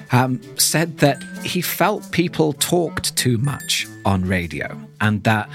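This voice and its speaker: A man hosting a podcast, talking through an introduction in a thoughtful, explanatory way. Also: medium pitch. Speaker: podcast host.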